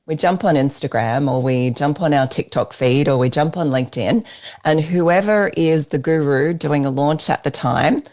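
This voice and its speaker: An Australian woman leading a business workshop, giving an emphatic, persuasive pitch while presenting slides. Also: normal speed, a little mellow.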